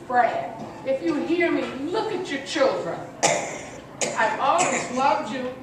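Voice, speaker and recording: A woman's voice: high-pitched, lively intonation, slow pace. An older woman playing a wistful, greying remarried mother, reading a reflective monologue in an amateur radio play. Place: echoey room at a senior center. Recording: roomy echo, distant mic, clear noise.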